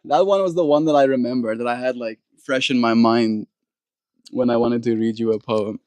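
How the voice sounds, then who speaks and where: Gentle Afrikaans-speaking man, dry close sound. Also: mid-range voice, moderate pace, no room sound. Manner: gentle, warm-hearted sign-offs with soft blessings. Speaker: live stream host. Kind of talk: reading a poem aloud and chatting with viewers.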